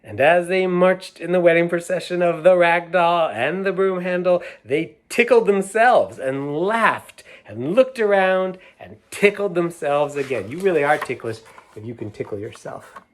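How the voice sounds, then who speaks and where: A man reading a picture book aloud to children, stopping to talk about the pictures and ask his young listeners questions in a playful, unhurried way. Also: high voice, animated. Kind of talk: read-aloud storytime with asides about the pictures.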